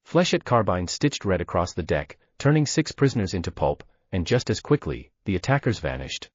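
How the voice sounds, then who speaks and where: A man's voice: deep voice, very animated, normal speed, no room sound. A man giving grave, dramatic narration of a science fiction story. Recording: dull dry lo-fi recording.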